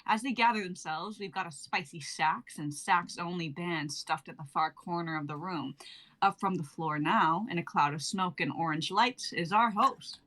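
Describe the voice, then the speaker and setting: A woman's voice: fairly low pitch, moderate pace, pushed and bright. A young woman reading stage directions for a comedy sketch in a theatrical, irreverent, declamatory way. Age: young adult. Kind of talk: theatrical comedic script narration.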